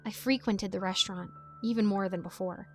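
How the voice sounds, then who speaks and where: A woman reading a scripted story as its narrator, with an expressive storytelling delivery. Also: medium pitch, brisk, faint music behind.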